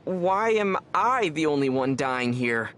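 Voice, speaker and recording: A man voicing a calm, wry young adventurer in scripted game dialogue, speaking in a measured, articulate way. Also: a little high, a little hiss or hum. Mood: dryly wry.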